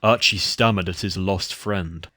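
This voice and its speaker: A man narrates a dark horror tale as a scripted reading. His voice sits fairly low, and the sound is dry with no room around it. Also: steady tone, measured pace, no room sound.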